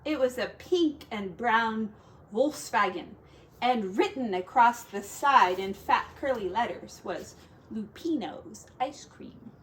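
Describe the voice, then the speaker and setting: A woman teacher reading a children's story aloud in an expressive storytelling style. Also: fairly high pitch, slow pace, slight background noise, rough recording.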